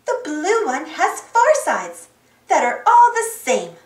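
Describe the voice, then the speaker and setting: A woman's voice: very high-pitched, huge pitch swings, takes it slowly, roomy sound, far from the mic, full character voice. A woman voicing a confident, eager little girl adventurer in a children's storybook, calling out emphatically.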